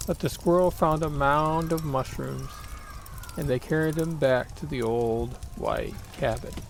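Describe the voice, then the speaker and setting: A man narrating a children's picture book aloud in a deliberate, expressive storytelling manner. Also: on the high side, gravelly, slight background noise.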